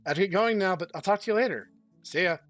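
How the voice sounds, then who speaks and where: Bubbly, teasing schoolgirl with a high voice, read by a man in a let's play. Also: rapid-fire, a bit bright, faint music behind. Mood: cheerful. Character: cheerful, scheming young female student.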